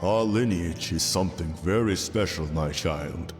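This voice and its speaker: Man playing a benevolent ancient god-king, deep voice, slow stately delivery, formal and fatherly, audio roleplay, long hall reverb. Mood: gentle, fatherly. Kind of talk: scripted audio roleplay, addressing the listener. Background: soft background music.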